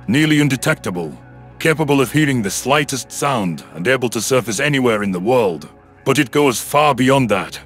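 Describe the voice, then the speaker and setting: A man voicing a calm, stern submarine commander with a measured, authoritative manner in an audio story. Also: medium pitch, natural voice. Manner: measured, authoritative, proud explaining.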